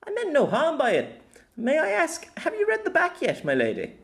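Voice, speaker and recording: A nonchalant knight from a fantasy audiobook, played by a man, speaking in a raised pitch. He is formal and courteous, offering polite apologies to his lady. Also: huge pitch swings, moderate pace, creaky, exaggerated voice. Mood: apologetic.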